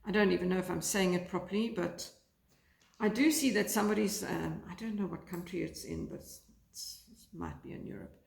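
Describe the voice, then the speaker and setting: A woman from South Africa chatting casually about her knitting by a fire, rambling and hesitant with many fillers, her voice croaky today. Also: fairly low pitch, brisk. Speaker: knitting vlogger. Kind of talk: casual chat to camera. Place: lounge by a fireplace, indoors. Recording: echoey room.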